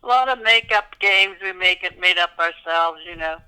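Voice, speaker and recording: An older woman from Kenya, being interviewed and remembering childhood games in a gentle, thoughtful way. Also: on the low side, normal speed, smooth, rough recording. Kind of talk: casual reminiscing.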